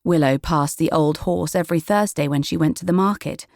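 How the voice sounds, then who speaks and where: A woman's voice: low-pitched, fairly quick, creaky, a little mellow, dry recording, clean studio sound. A woman reading a children's story as a scripted audiobook narrator.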